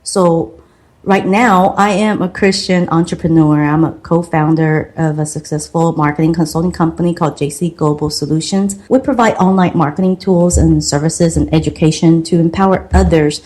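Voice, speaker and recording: A woman from Taiwan, a marketing consultant telling her life story as a podcast guest in an earnest, measured way. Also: low-pitched, fairly even intonation, a little mellow.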